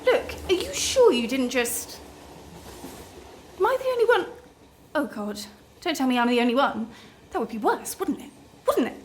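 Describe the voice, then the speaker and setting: High-voiced, flustered woman. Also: very animated, a little hiss or hum. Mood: anxious. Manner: agitated, halting, self-deprecating. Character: anxious, flustered, wry woman writer on a train. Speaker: actor in a radio play. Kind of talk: naturalistic radio drama dialogue.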